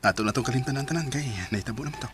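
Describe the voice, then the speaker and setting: A man's voice: mid-range voice, very fast, music in the background, natural voice. A man playing a subdued, earnest, apologetic young man in a radio drama.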